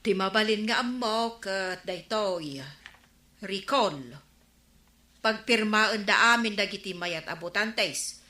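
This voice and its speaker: A woman with an Ilocano accent playing a gossiping villager who gives grave warnings in a radio drama, deliberate and emphatic. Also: medium pitch, bright, forceful tone. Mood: grave, confiding. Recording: roomy echo.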